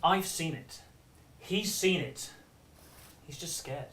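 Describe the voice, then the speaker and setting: An actor playing a quiet, determined father and astronaut, speaking softly and wearily, over faint music. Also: fairly high pitch, takes it slowly, slight background noise. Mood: tired. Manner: soft, hushed, weary, gentle storytelling.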